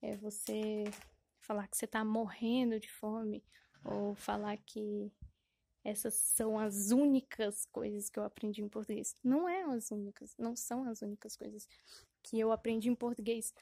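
A woman's voice: medium pitch, fairly even intonation, moderate pace, vocal fry, mellow, no echo. A woman hosting a casual solo podcast, rambling and correcting herself with lots of fillers, often laughing at herself. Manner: self-deprecating and laughing at herself. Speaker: podcast host.

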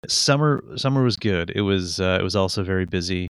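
Deep-voiced man in his forties, rambling. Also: brisk, smooth, no room sound, clean studio sound. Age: 40s. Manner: rambling, self-mocking, many fillers and restarts. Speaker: podcast co-host. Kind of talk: casual chat. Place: Connecticut. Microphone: studio microphone.

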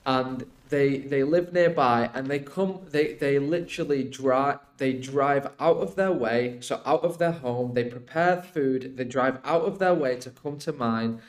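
A man's voice: medium pitch, a little slow, hardly any pauses. A man, talking casually on a podcast in a rambling, emphatic way, often checking in with the listener. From Iraq until age eight, then UK. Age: 20s. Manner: rambling, emphatic explaining with frequent "like" and "right?". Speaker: podcast guest.

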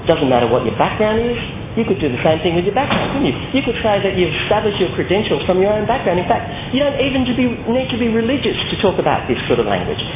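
A man's voice: sits high, very animated, quick pace, few pauses. A man preaching an expository sermon, emphatic and repeating phrases for effect. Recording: narrow phone-like sound, echoey room, loud noise.

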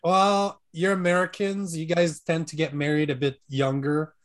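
A man's voice: a little high, little pitch movement, moderate pace. A man interviewing a guest, asking questions with dry teasing remarks. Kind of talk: casual podcast interview.

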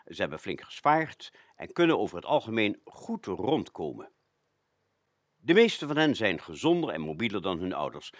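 A man presenting a radio show, narrating from a script with frequent hesitant pauses. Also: on the low side, no room sound, clean studio sound.

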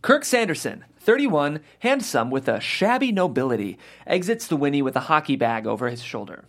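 A man's voice: a little high, sing-song, moderate pace, a bit bright. A man hosting a podcast table read, talking casually and reading out script directions. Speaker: host, reader of stage directions.

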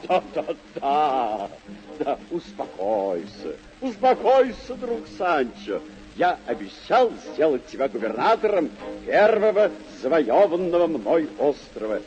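A grandiose old knight-errant, high-voiced and swooping. He declaims gallantly and deludedly, in the manner of vintage radio theatre. The old recording is dull, with music behind. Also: a little mellow. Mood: reassuring, solemn. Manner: declamatory, stately, drawn-out phrasing. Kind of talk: vintage radio play acting.

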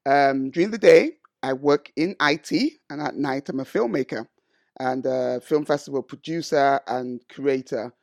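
A woman co-hosting a podcast, teasing and animated in casual chat. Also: very deep voice, normal speed, creaky, dry recording, clean studio sound. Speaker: podcast co-host.

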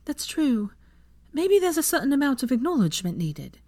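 Woman doing a high eager young witch. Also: very animated, moderate pace, dry recording. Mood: musing. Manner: bright, high, gushing encouragement.

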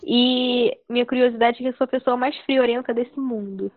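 A nineteen-year-old woman chatting casually on a podcast in a relaxed way, with many filler tags. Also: on the high side, moderate pace, dry recording. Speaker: youth group member, co-host. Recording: phone-like dry line.

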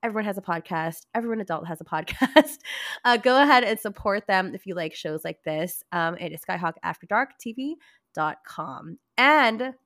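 A woman hosting a casual podcast, her voice hoarse and raspy from a cold, bubbly and gushing as she talks. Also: medium pitch, expressive, normal speed, a bit bright, no echo, studio quality. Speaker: podcast host.

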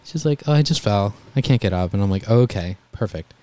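A young man telling EMT stories in a relaxed, calm voice during a casual chat. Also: lowish voice, fast, dry recording. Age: young adult. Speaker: guest, EMT volunteer.

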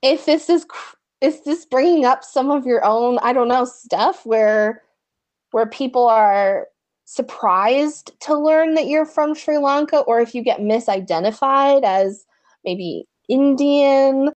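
A woman's voice: high voice, takes it slowly, darkish tone. A woman co-hosting a podcast, asking questions casually with many fillers and thinking aloud. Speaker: podcast co-host.

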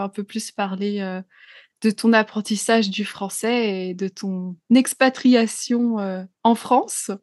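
A woman's voice: medium pitch, dry recording, studio quality. A woman hosting a podcast interview, asking friendly questions with many fillers. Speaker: podcast host. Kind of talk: casual interview chat.

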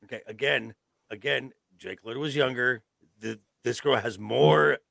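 Gravelly, bright-voiced man on a podcast. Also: medium pitch, measured pace, no echo. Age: 50s. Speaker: podcast co-host. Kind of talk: casual panel chat reviewing a show.

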